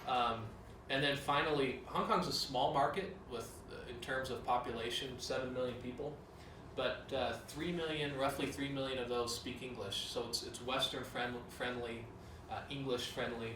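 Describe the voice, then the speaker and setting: A man's voice: medium pitch, steady tone, normal speed, far from the mic. A man giving a corporate training talk in a casual way, with many fillers. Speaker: company director. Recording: roomy, from off-mic, with clear noise.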